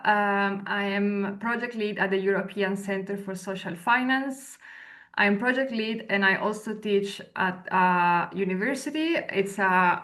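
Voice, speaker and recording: A woman in her thirties with an Italian accent, a researcher and lecturer giving a webinar presentation over a video call with clear explanations and brief hesitations. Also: medium pitch, a bit bright. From Italy. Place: indoor room at home or office. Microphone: video call, laptop mic.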